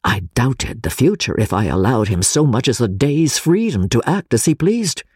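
A woman's voice: a bass voice, very animated, normal speed, darkish tone, slightly rough, no echo, studio quality. A woman narrating classic fiction as a dramatic, suspenseful audiobook reading with a theatrical delivery.

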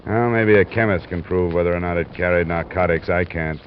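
A hard-boiled private eye , acted by a man, with a low American voice and a clipped, deadpan delivery. He sounds like golden-age radio drama, on a muffled old recording with some hiss. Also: brisk, lightly acted. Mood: skeptical, probing. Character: hard-boiled, wry private insurance investigator. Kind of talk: 1940s-50s radio detective acting and narration.

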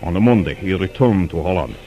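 An actor playing an obliging waiter who is secretly an agent in a 1940s radio drama, deferential and hesitant. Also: male voice, low voice, animated, brisk, highs cut off, soft background music. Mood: hushed, furtive.

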